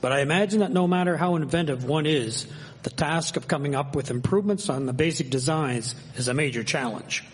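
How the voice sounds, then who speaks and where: A man playing a proud, higher-voiced newspaper editor. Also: a little fast, lightly acted. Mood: opinionated. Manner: formal, old-fashioned, declamatory wording. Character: proud, civic-minded 1873 newspaper editor, earnest man. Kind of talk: amateur historical radio drama.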